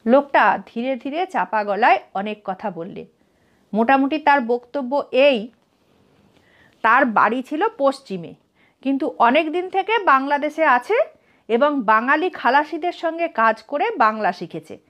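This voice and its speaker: High-voiced Bengali woman narrating a story. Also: lively intonation, unhurried, a bit bright. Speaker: storyteller reading a literary adventure story. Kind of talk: scripted dramatic narration of a story.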